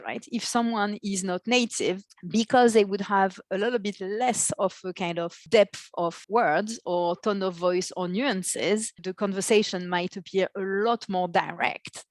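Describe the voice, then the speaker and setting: Interview guest: woman with medium pitch, talking conversationally, recorded with no room sound. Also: no room sound. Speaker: podcast guest, marketing executive.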